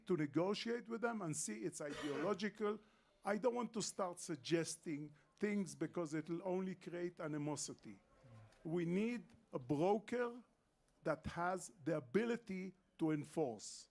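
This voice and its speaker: A man's voice: high-pitched, animated, measured pace, vocal fry, a little mellow. An older man, speaking emphatically and repeating his points as a panel guest. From Israel. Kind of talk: panel discussion.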